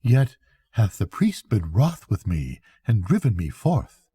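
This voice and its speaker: A man's voice: fairly low pitch, sing-song, a little slow, mellow, husky, dry recording, heavily acted. A grandfather playing an earnest, determined young fisherman in a bedtime story, in a declaiming manner. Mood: earnest, resolute. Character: young fisherman, eager, earnest, determined to lose his soul.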